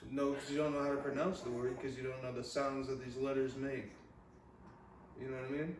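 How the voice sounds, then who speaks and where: A man's voice: mid-range voice, flat delivery, fairly quick, a crowd in the background. Young man joking, distant and echoey. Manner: slangy, joking. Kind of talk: casual joking chat. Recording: echoey room, distant mic, faint noise.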